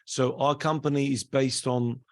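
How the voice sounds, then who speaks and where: A man from Turkey, a campaign worker announcing a project on a video call in quick, slightly broken phrases. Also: medium pitch, level tone.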